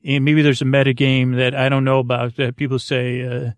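A man hosting a podcast with a creaky, gravelly voice, improvising a hesitant, meandering bedtime monologue full of tangents, close on the mic. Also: mid-range voice, flat delivery, fairly quick, dry recording. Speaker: podcast host.

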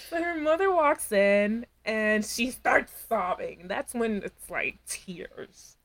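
A woman co-hosting a podcast, recapping a reality show in casual chat, animated, laughing often and acting out quotes. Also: fairly high pitch. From Dominican heritage. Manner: animated, talks with her hands, quick laughs, acts out quotes. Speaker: podcast co-host.

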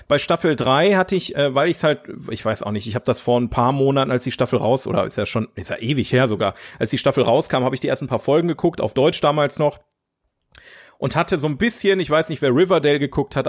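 A young German man hosting a casual podcast. He talks in an enthusiastic, slangy way and swears freely, with an ordinary mid-range voice. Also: medium pitch. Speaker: podcast host. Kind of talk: casual chat about films and series.

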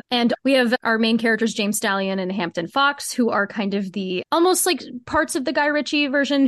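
A woman speaks as a podcast guest in a very dry recording. Her pitch sits in the middle range for a woman. She explains things at length in a conversational, earnest way, repeating phrases for emphasis. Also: no room sound. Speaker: podcast guest, fiction podcast co-creator. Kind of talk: conversational interview answers.

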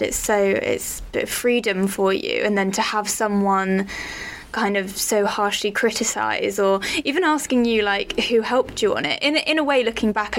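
A young woman hosts a casual podcast interview. She talks in a chatty, encouraging way, leaning often on "like". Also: medium pitch. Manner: chatty and encouraging, many likes. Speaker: podcast host.

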